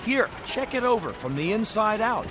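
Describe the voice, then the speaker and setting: A man's voice: sits high, sing-song, moderate pace, loud music behind the voice. An actor playing a glib, pushy used-car salesman in a radio drama, with florid, bombastic patter. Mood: insistent.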